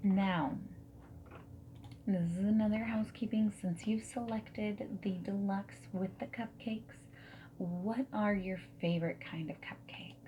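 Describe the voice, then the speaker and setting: A woman doing a friendly, reassuring ASMR roleplay as a dating service consultant, soft-spoken and often whispering. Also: medium pitch, fairly even intonation, unhurried, dull audio, a little hiss or hum. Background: mechanical keyboard typing and clicks.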